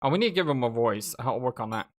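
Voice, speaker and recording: A woman's voice: a bass voice, animated, fast talker. A woman hosting a let's play, switching between reading game narration aloud and casual commentary. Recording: game music behind. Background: video game music.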